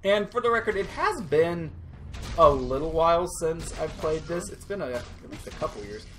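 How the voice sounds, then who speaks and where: A man's voice: on the high side, sing-song, normal speed, fairly bright tone. A man giving casual, rambling let's play commentary, joking and self-deprecating. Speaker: gaming channel host. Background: faint game music.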